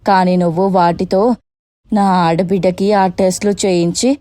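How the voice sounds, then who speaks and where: A woman's voice: lowish voice, level tone, moderate pace, mellow, dry recording, clean studio sound, natural voice. A woman voicing a blunt but caring older female relative in a cartoon moral story, grumbling in colloquial speech. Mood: indignant.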